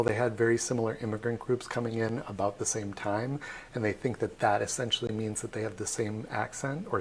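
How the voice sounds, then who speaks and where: A man working as a tour guide, giving a chatty, animated talk during a live online tour. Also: mid-range voice.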